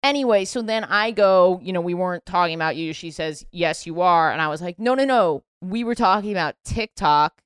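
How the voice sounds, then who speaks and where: Give me a woman with a low voice telling a story on her podcast, lively, recorded close and dry. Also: fairly quick, few pauses, clear, resonant tone, dry recording. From New York. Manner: animated, acts out quotes. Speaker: podcast host. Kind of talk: casual storytelling chat.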